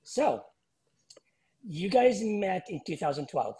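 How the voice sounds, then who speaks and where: A man's voice: high voice, very animated, slow, warm tone. A man hosting a podcast, asking casual interview questions in a loose, chatty way with fillers. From United States. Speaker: podcast host.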